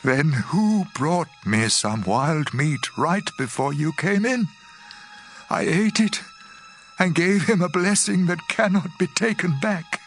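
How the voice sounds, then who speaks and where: An actor playing a frail old father who speaks solemnly and haltingly in a dramatized scripture story. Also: medium pitch, animated, gravelly, a bit bright, soft background music, heavily acted. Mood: shaken. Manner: slow, weighty, halting delivery. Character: frail old blind father, solemn patriarch giving blessings. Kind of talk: dramatized scripture reading with music.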